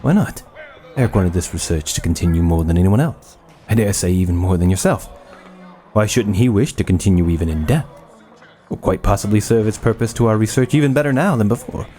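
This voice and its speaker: A man's voice: lowish voice, fairly quick, warm tone, close to an everyday voice. A man playing an obsessive mad scientist and army surgeon in a horror audio drama, clinical and commanding, with gleeful fascination. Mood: cold, clinical.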